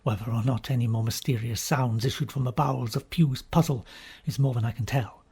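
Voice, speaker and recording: Husky male audiobook narrator. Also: medium pitch. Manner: expressive, theatrical, with emphatic pauses. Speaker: audiobook reader. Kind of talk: dramatic first-person storybook narration.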